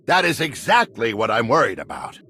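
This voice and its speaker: An actor playing an earnest, easily indignant official in a sci-fi video game, speaking in an urgent, combative way. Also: male voice, mid-range voice, big pitch swings, fairly quick, pushed and bright, slightly rough, heavily acted. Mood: earnest, worried. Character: earnest, indignant male official distrustful of corporate crooks. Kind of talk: video game cutscene acting.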